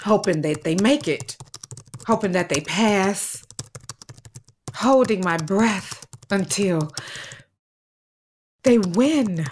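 A woman playing a dedicated, weary third-grade teacher in an audio drama, earnest and deliberate, leaning on repetition. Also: medium pitch, lively intonation, slight background noise. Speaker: playwright and actor.

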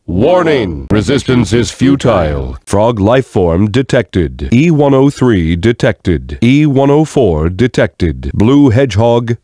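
A man playing a stern, emotionless combat robot in a video game, with stiff, measured machine-like delivery. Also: on the low side, big pitch swings, dark tone, no room sound, clean studio sound, exaggerated voice. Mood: flat, procedural. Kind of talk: video game character voice lines.

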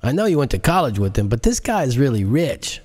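Man doing a nosy, teasing buddy, pitched up. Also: big pitch swings, brisk, a little mellow, husky, long reverb tail. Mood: nosy, playful. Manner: teasing, probing. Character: nosy, playful male friend drinking tequila.

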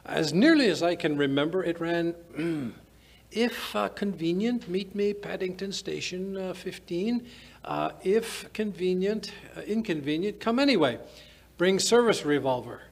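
An older man acts out a gentleman doctor of old-time radio mystery. The voice is raspy and creaky, pitched fairly high for a man. He is blustering and puzzled, given to stammering as he recounts things. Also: lively intonation, unhurried, full character voice. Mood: recollecting. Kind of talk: old-time radio drama acting, scripted. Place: small indoor stage. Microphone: stand microphone.

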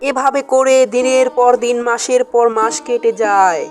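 Casting: female narrator, fairly high voice, cartoon folk-tale storytelling over music. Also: fairly even intonation, normal speed.

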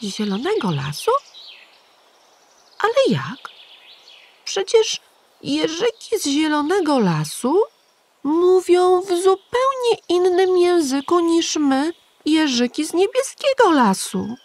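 A woman's voice: sing-song, slow, darkish tone, exaggerated voice. A woman doing a small anxious boy hedgehog. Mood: worried. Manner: high, pleading and worried.

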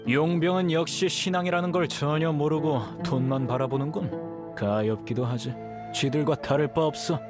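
Casting: actor as contemptuous desert fanatic for a game, slightly raised register, righteous scorn over music. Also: male voice, fairly high pitch, moderate pace, lightly acted. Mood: scornful. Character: contemptuous, zealous male desert cult follower. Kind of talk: video game voice acting. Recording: music clearly behind the voice.

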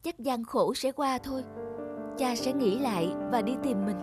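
A woman's voice: on the high side, moderate pace, over loud music, natural voice. A woman playing a kind, sorrowful young maiden in a cartoon folktale, speaking politely and earnestly. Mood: sad, hopeful.

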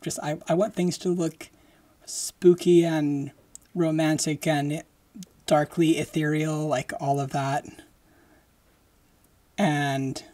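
Young adult solo podcaster, mid-pitched, slow and halting, close-mic home recording. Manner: halting, many fillers and restarts; speaks slowly with lively hand gestures. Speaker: podcast host. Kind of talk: casual solo podcast chat. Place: decorated home room, indoors. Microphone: large studio mic close in front.

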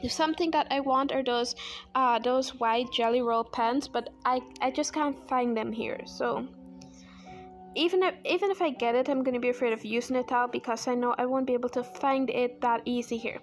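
A woman's voice: fairly high pitch, moderate pace, faint music behind. A young woman from Guyana with a Guyanese accent, chatting casually and self-deprecatingly about art supplies while she draws at a desk. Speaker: art channel host. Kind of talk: casual chat while drawing. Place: indoors at a desk. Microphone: overhead camera on hands.

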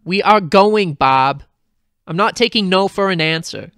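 A performer puts on an excitable cartoon mother, pushy and pleading. The voice is bright and swoops up and down, with slow, stretched-out wheedling in a dry, close sound. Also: medium pitch, clear, resonant tone, no room sound. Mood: insistent. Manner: exaggerated, drawn-out wheedling. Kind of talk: fan fiction dramatic reading.

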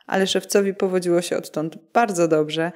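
A woman's voice: lowish voice, steady tone, moderate pace, a little mellow. A woman reading a scripted fairy tale as a bedtime story narrator.

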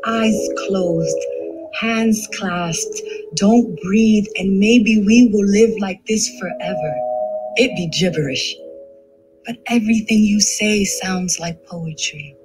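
A woman in her thirties performing her poetry in a rhythmic, expressive spoken-word style. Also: mid-range voice, takes it slowly, poor audio. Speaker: poet. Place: studio. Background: music.

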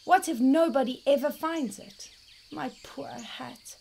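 Big, earnest storybook bear, played by a woman: polite, highish, faint nature ambience. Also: unhurried, gravelly, darkish tone, a little hiss or hum. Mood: forlorn. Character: big, polite, forlorn bear missing its hat. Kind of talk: children's storybook read-aloud.

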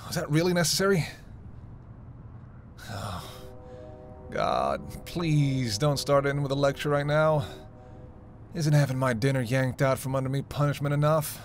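A man's voice: mid-range voice, lively intonation, measured pace, pauses often, soft background music, lightly acted. A man playing a weary, flirtatious vampire with teasing, sarcastic banter in an intimate roleplay audio drama. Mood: sarcastic, defensive. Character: sardonic, flirtatious male vampire, weary and hungry. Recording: noisy, ambient sound effects behind voice.

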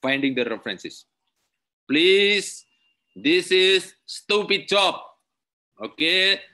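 Slow, bright-voiced Indonesian man lecturing. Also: high voice, expressive. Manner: slow, repetitive, punctuates with "okay"; simple non-native English. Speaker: university lecturer. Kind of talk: webinar tutorial, screen-share demonstration.

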